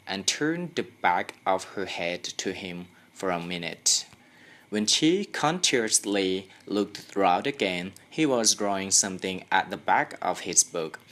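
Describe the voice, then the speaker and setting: A young adult student from Thailand with a Thai accent, reading a story aloud as a careful, halting amateur audiobook narrator. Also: low-pitched, a bit bright.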